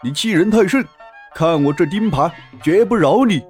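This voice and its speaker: A man's voice: sits high, expressive, normal speed, a little mellow, faint music behind. A man playing a boastful, oafish pig demon in a dramatized storybook, with a blustering, stammering delivery. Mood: blustering, angry. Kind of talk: dramatized storybook character acting.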